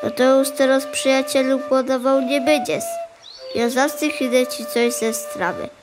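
High-voiced clever young sheepdog played by a woman. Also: fairly even intonation, measured pace, over music. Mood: reassuring. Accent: Polish Goral highland. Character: kind, clever young male sheepdog, eager helper. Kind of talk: audio play dialogue in dialect.

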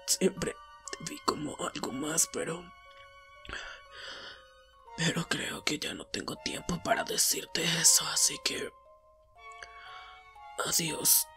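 A mortally wounded young anime hero acted by a man speaks slowly and weakly over music. His remorseful, faltering voice rises and falls widely, as in an audio drama. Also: high-pitched, wildly swooping pitch, pushed and bright, exaggerated voice. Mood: remorseful, fading. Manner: weak, faltering, breaking into whispers. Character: dying, gruff teenage boy hero, proud but remorseful. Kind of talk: Spanish fandub audio drama, emotional acting.

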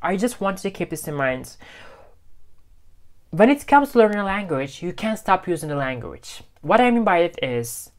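A man's voice: high-pitched, moderate pace. A young man in his twenties with a Turkish accent, chatting animatedly to camera about learning English, full of fillers like "you know" and "like". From Turkey. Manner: animated, gestures with both hands, loose filler-heavy phrasing. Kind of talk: casual talk to camera. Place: small bedroom at home.

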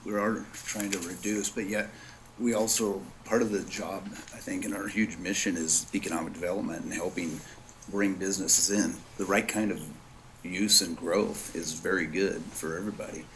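A man's voice: low voice, steady tone, normal speed, a bit bright. A man serving as a board director, making unscripted remarks at a formal meeting. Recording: noisy meeting room recording.

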